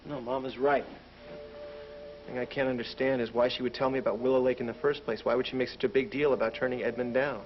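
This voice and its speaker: An actor playing a troubled, self-critical husband who broods and questions himself, in a soap opera. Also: male voice, medium pitch, steady tone, fast talker, lightly acted. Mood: brooding. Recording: dull lo-fi TV recording.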